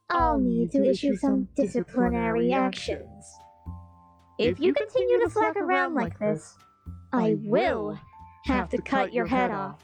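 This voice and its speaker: A woman voicing a falsely sweet, menacing boss in a comic dub, speaking slowly with exaggerated politeness and threats. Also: sits high, a little mellow, full character voice. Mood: sweetly passive-aggressive.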